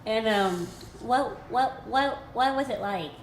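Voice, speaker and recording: Woman interviewer, higher voice, echoey room. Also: takes it slowly, a little hiss or hum. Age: 30s. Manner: simple questions, frequent "mm-hmm" and "cool". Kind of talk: casual interview questions.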